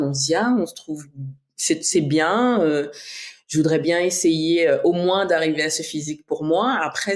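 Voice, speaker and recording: A woman from French Guiana, a bodybuilding athlete, talking as a guest in a casual podcast interview, reflective, with many fillers and restarts. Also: low-pitched. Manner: reflective, frequent restarts and fillers, laughs easily.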